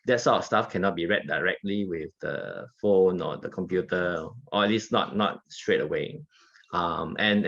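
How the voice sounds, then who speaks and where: A man speaking as a panelist on a video call, halting and full of fillers. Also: deep voice, fairly bright tone, highs cut off. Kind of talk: casual panel talk.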